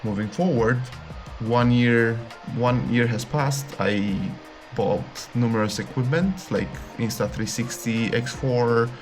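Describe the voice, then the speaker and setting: A man's voice: mid-range voice, slow, darkish tone. A man in his late twenties speaking casually to camera, rambling and repeating words as he searches for them. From Romania. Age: late 20s. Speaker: YouTuber. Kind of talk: casual direct-to-camera vlog. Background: background music.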